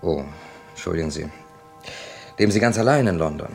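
Edgar Dickens, low-voiced urbane crime writer. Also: male voice, lively intonation, a little fast, gravelly, faint music behind. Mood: curious, teasing. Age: 30s. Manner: dry, wry, urbane. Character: Edgar Dickens, worldly thirty-six-year-old male crime writer from London. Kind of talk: 1970s German radio crime drama, first-person narration and dialogue. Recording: noisy old radio archive recording.

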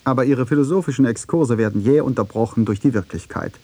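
A man delivering scripted commentary for a radio feature in a husky, mellow voice. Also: mid-range voice, fast. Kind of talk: scripted narration.

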